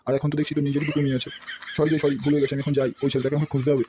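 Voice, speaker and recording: Man playing a brash, rapid-talking cartoon henchman. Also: medium pitch, little pitch movement, noisy background, natural voice. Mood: apologetic. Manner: brash, swearing, blustering. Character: loyal, thuggish, blundering male henchman. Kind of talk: amateur comedy cartoon voice-over.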